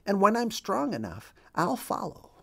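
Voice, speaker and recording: A man voicing a brave male mallard duck husband, gentle and steady, in a storybook reading. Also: sits high, normal speed, vocal fry, slightly rough, voice pushed up, full character voice. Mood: resolute, gentle.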